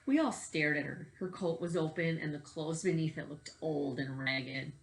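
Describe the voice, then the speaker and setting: A woman's voice: low-pitched, normal speed, gravelly, low-quality recording. A woman reading a storybook aloud in the manner of a teacher addressing children.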